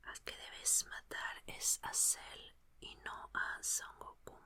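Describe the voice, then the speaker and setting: A woman playing a reassuring, curious young female mechanic in an ASMR roleplay, speaking in a soft, breathy, soothing whisper. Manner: soft, unhurried, soothing murmur with repeated phrases.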